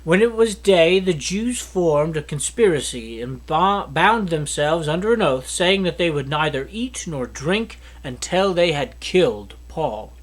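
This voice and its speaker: Man reading scripture with lively pitch. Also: unhurried, few pauses, rough recording. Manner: steady, earnest reading with small slips and restarts. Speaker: scripture reader. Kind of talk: reading a Bible chapter aloud.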